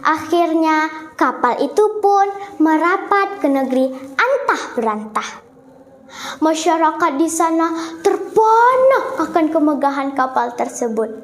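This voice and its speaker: A female storyteller telling a folktale in a theatrical, deliberate way, in a roomy, echoing recording. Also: high voice, expressive.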